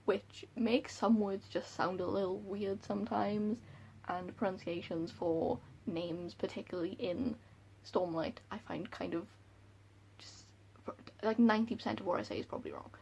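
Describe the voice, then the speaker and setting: A woman's voice: medium pitch, steady tone, moderate pace, creaky, a little mellow, slight background noise, rough recording. A young woman with a Welsh accent chatting to camera about books at home, giggly and self-deprecating. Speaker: book vlogger. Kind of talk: casual chat to camera. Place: room at home by a window.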